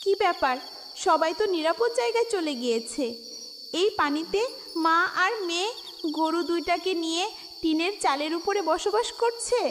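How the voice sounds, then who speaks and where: A compassionate cartoon water fairy played by a woman, very high and musing, drenched in long reverb. Clear background noise surrounds the pitying voice. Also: lively intonation, moderate pace. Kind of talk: cartoon voice acting. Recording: distant, long reverb, noisy night ambience.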